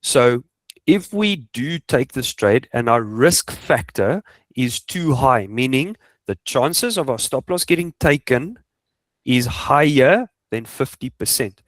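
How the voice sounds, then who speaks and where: Male coach, Afrikaans-speaking South African accent. Informal, emphatic instruction with rhetorical questions, average pitch, very little room sound. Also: expressive, slow pace, no room sound. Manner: emphatic, repeats points, asks rhetorical questions. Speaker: trading coach. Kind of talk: informal instructional screen walkthrough.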